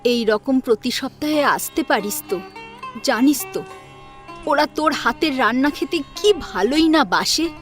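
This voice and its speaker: A woman's voice: high-pitched, animated, measured pace, soft background music. A woman voicing an elderly mother in an audio story, evasive, defensive and dismissive with her daughter. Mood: coaxing. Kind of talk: Bengali audio story acting.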